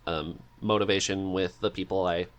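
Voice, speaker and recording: A man with a low-pitched voice talks in a casual podcast conversation. He comes across as chatty and eager, like a guest happy to share. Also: fairly even intonation, normal speed.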